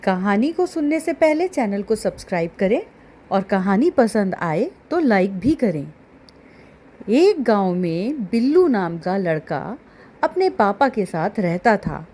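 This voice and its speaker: A woman's voice: mid-range voice, sing-song, measured pace, dark tone. A woman narrating a story for children as a storyteller.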